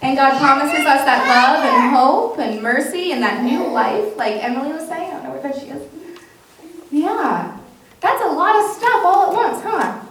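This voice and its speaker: A woman's voice: sits high, expressive, normal speed, fairly bright tone. A woman pastor speaking to a congregation in simple, encouraging language, as in a children's message and sermon. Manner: encouraging, simple questions and repeating answers back. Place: indoors, large reverberant church. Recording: very echoey church, distant mic, faint noise.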